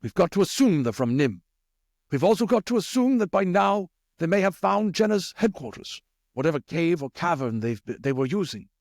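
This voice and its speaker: An earnest older rat leader in a storybook, acted out by a man, pitched high with sweeping intonation. He is measured and deliberate, commanding and probing. The recording is close and dry. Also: sing-song, darkish tone, no room sound. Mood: grave, resolute. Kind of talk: audiobook character voice.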